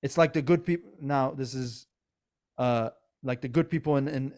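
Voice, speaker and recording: A man's voice: medium pitch, normal speed, darkish tone. Earnest rambling man with a Persian accent. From Iran. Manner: earnest, rambling, many restarts. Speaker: podcast co-host. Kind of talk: casual political chat.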